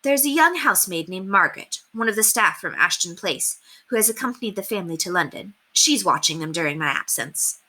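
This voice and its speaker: A woman's voice: mid-range voice, lively intonation, fairly quick, few pauses, sharp tone. A woman voicing an earnest, modest young governess in a storybook, polite and a little self-conscious.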